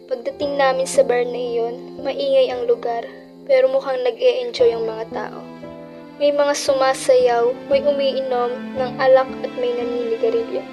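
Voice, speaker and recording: A woman voices a reflective young narrator with a high voice. She recounts her youth with regret in scripted radio drama narration, with clear music behind. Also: dull audio, natural voice.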